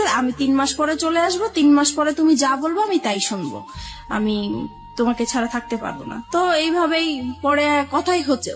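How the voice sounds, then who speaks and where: A woman with a high-pitched voice and a Bangladeshi accent shares a personal story as a guest. She speaks in a halting, confessional manner. Music plays softly behind her. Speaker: guest telling own life story. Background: background music.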